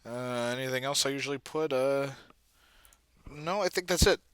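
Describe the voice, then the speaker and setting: A man's voice: medium pitch, normal speed, clear, resonant tone, no echo. A man giving casual, self-deprecating commentary as he works on his computer, with muttered asides. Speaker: video creator, comic dub editor. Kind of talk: casual screen-recording commentary. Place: indoors at a computer.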